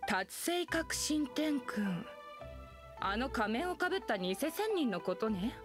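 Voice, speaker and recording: A woman's voice: a little high, very animated, slow pace, over music. A woman playing a composed, courteous innkeeper in a game, with polite feminine wording. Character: composed, courteous adult female inn proprietor. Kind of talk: Japanese game dub acting.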